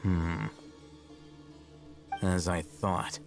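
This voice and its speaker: A serious, bookish young adventurer in a video game. His low voice stays calm, terse and deadpan, with loud music underneath. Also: extremely slow, exaggerated voice. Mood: focused.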